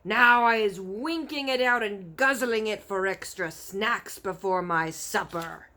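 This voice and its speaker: A woman voicing a huge, bullying, crude man-eating giant in a storybook, booming out mangled grammar with slow menace. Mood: menacing. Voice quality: booming, forceful shouting.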